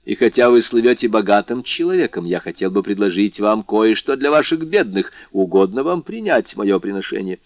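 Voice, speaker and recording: A male narrator plays a courteous police official paying a visit in a classic novel. He sounds formal and self-assured, low in pitch, on a dry, slightly muffled audiobook recording. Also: animated, normal speed, hardly any pauses, no echo. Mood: polite, assured.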